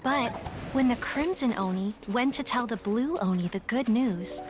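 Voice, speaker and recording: A woman playing a calm, shrewd young woman in a video game, speaking in a measured, matter-of-fact, dry way. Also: a little high, natural voice. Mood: composed. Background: game music.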